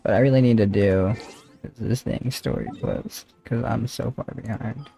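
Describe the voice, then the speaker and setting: A man's voice: medium pitch, moderate pace, vocal fry, mellow, soft background music. A man livestreaming a game, chatting casually with viewers in a chummy, slangy way. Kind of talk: casual game stream chat.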